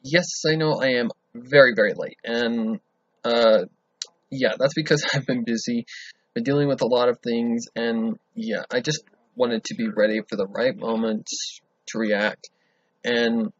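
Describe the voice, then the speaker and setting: A man's voice: medium pitch, breathy edge, dry recording. A young man hosting a casual reaction video, chatty and rambling with fillers. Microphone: desktop mic, no headphones. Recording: dull lo-fi home recording. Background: audiobook music playing.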